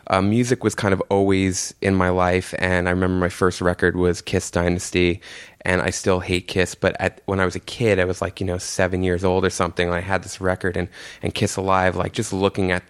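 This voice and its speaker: Deep, flat-voiced New man. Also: a little fast, smooth. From New York City. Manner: loose, rambling, lots of fillers. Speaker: guest. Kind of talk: casual interview.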